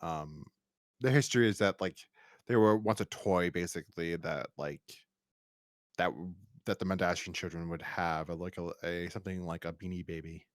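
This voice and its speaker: A man hosting a podcast in a casual review chat, rambling and halting with repeated false starts. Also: deep voice, no room sound, clean studio sound. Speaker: podcast host.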